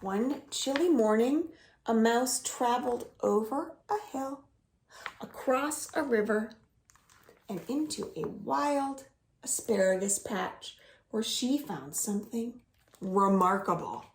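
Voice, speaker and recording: A young woman leading a children's storytime from home, reading stories and singing rhymes in a lively sing-song way. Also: a little high, takes it slowly, echoey room, slight background noise. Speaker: children's librarian.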